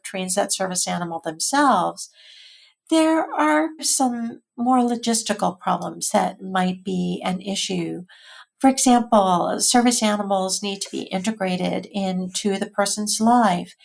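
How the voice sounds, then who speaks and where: A woman with an American accent giving articulate, measured explanations as an expert guest in an interview. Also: medium pitch, gravelly. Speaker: expert guest, physician and health policy researcher.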